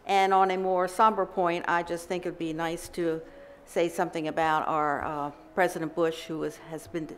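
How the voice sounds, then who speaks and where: Resident woman, low-ish voice, effusive, faint hiss. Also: little pitch movement, moderate pace. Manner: effusive, warm praise. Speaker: resident giving public comment. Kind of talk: public comment at a town meeting.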